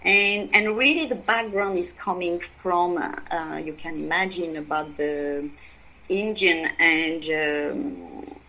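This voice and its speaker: A woman with a French accent, speaking English as a second language, explaining her work as a podcast guest in halting speech full of fillers and restarts. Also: on the low side, pushed and bright, muffled recording. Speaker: guest, EFT practitioner. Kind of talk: podcast interview.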